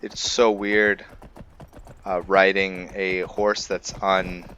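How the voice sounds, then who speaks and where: A man giving casual, unscripted gameplay commentary, musing and haltingly thinking aloud. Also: sits low, little pitch movement, pushed and bright, dull audio, slight background noise. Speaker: gamer hosting a let's play.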